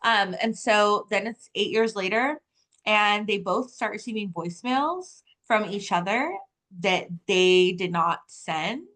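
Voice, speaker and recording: A woman in her thirties talks as a guest author in a relaxed interview. Her speech is conversational and animated, peppered with "like" as she explains things, at a middle pitch. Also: takes it slowly. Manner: animated storytelling, heavy use of "like". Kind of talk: casual interview chat.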